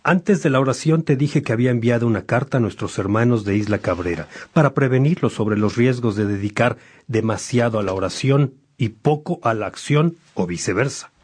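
A man playing an earnest, reflective bishop in a religious radio drama, speaking in a measured, pastoral way. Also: mid-range voice, a little mellow, slightly rough, natural voice. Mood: earnest, inquiring. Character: Saint Augustine, earnest reflective bishop among friends.

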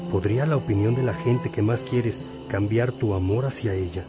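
An actor playing a wise, patient priest and teacher in a religious radio drama, gently questioning and instructing. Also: male voice, on the low side, moderate pace, close to an everyday voice. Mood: playful, probing. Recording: music behind the voice.

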